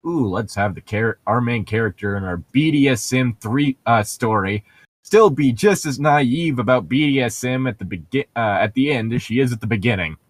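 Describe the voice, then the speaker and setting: A man's voice: medium pitch, animated, normal speed, no echo. A man co-hosting a podcast in casual joking banter over a book being read aloud, sarcastic and teasing. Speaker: podcast co-host.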